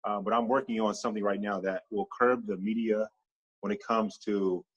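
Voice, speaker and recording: A man speaking as a podcast guest in a casual interview, animated and stacking long repeated lists as he talks. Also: fairly low pitch, moderate pace, husky, lo-fi sound.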